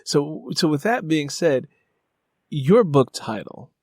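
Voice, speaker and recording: A middle-aged man hosting an author interview, talking conversationally as a fellow novelist, rambling with frequent filler phrases and animated when storytelling. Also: a little high, slow, no room sound, studio quality. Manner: animated storyteller who acts out remembered exchanges; rambling, repeats "you know".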